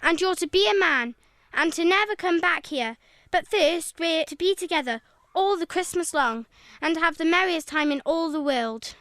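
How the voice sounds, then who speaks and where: An affectionate young girl in radio drama, tender and eager. Her voice is very high and recorded dry and close. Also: expressive, moderate pace, a bit bright, no room sound. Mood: tender excitement. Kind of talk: radio play acting.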